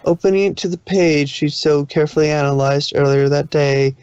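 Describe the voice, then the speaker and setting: A man's voice: on the high side, steady tone, fairly quick, darkish tone, clean tone, dry recording, dull audio. A man narrating a story aloud as a fan fiction reader, occasionally stumbling over the text.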